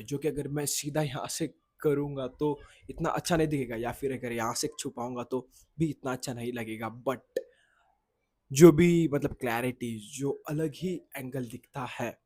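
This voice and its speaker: Husky young man chatting casually to camera. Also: medium pitch, darkish tone. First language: Marathi. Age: young adult. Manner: rambling, loses his thread, self-corrects. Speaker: content creator. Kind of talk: casual vlog talk to camera. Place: outdoors in the sun, at a boys' hostel.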